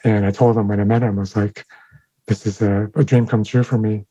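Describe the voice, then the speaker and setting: Man, first language Chinese, halting speech, lots of fillers, mellow tone, muffled lo-fi audio. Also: lowish voice, level tone, breathy edge, no room sound. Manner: thoughtful, halting, many fillers and restarts. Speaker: guest sound designer. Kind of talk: interview conversation.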